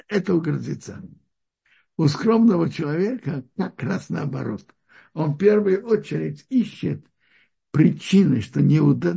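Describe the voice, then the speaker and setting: A man giving a religious lesson on scripture, explaining slowly and often stopping to restart his sentences as he searches for words. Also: fairly high pitch, gravelly, dark tone, slightly rough. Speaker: Torah teacher.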